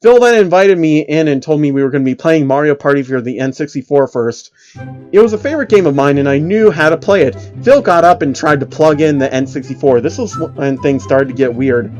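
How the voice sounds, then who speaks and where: Man, slightly high voice, online horror story narrator, mocking theatrical reading, amused and exaggerated, music underneath. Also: lively intonation, fairly quick, darkish tone, dull audio. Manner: amused, exaggerated, often breaking character. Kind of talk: mocking dramatic reading of a creepypasta. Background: faint music.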